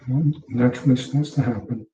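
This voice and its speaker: A very soft-edged, dark-toned man guides a meditation in a calm, unhurried way, with short instructing phrases. His voice sits at an ordinary male pitch and sounds distant from the microphone. The recording is dull and echoes with the room. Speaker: meditation teacher.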